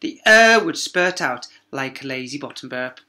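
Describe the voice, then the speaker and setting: A man's voice: mid-range voice, lively intonation, pushed and bright. A man reading a children's story aloud like a primary teacher, dramatic and emphatic. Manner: dramatic, with emphatic pauses and sound words.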